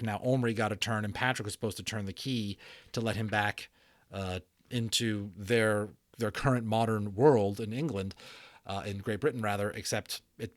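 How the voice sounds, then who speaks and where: A man reading a story aloud as a dramatic, expressive narrator, with casual explanations for listeners. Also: on the low side, no room sound. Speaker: audiobook narrator and channel host.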